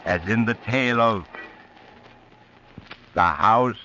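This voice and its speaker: An actor playing a sinister, teasing traveler who hosts horror tales on old-time radio, in an ominous, theatrical manner. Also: male voice, fairly low pitch, slow, sharp tone, lo-fi sound. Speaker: host and narrator. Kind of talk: 1940s radio horror narration. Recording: noisy old radio recording.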